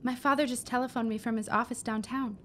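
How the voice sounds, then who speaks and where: An actress playing an excitable, exuberant young woman in an audio drama, breathless and emphatic. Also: a little high, fast, natural voice. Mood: urgent elation. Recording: clear background noise.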